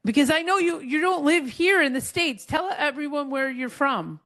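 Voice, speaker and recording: Fast-talking woman hosting a chat. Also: high voice. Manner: quick, lively storytelling with many "like" fillers. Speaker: podcast host. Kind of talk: casual interview chat.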